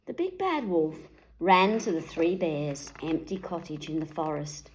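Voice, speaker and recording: Casting: female children's storyteller, mid pitch, lively swooping intonation, unhurried. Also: poor audio. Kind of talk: animated picture-book reading.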